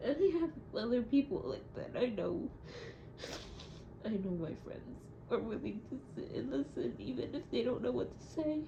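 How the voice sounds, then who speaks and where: A young adult woman speaking in a hesitant, halting and reflective way, as in a confessional audio diary. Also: fairly high pitch, lively intonation, creaky. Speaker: audio diarist. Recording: muffled, echoey room with loud noise.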